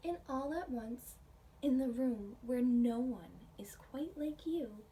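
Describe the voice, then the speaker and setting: A woman reading a picture book aloud to children and chatting about it in a gentle, expressive, questioning way. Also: high-pitched, slow. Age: adult. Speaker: children's storybook reader, teacher. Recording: distant mic with faint background noise.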